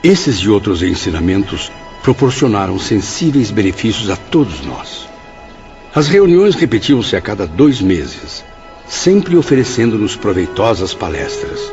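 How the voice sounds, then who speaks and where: An actor playing a melancholy, courteous writer spirit in an audio drama, speaking in a formal, earnest, literary way. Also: male voice, lowish voice, sing-song, measured pace. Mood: reflective. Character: Camilo, melancholy, courteous convalescent writer spirit, male narrator. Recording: dull audio with music behind.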